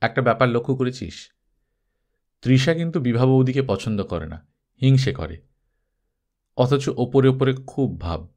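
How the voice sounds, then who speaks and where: A man's voice: medium pitch, unhurried, pauses often, a little mellow, natural voice. A man voicing a wounded, loyal male friend in a story, earnest and confiding with a dry manner.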